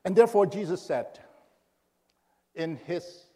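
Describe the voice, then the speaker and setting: A middle-aged man from Indonesia preaching to a congregation as a guest pastor, in a relaxed way with many pauses and fillers. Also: high voice, big-room sound. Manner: informal, halting with many fillers. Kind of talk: sermon. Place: church sanctuary.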